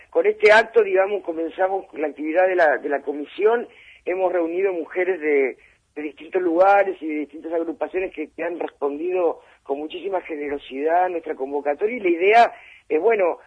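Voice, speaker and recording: A woman's voice: on the low side, slightly rough. Woman on a tinny phone line. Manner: thoughtful, hesitant with fillers and restarts. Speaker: phone guest, head of a gender commission. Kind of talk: phone interview on live radio.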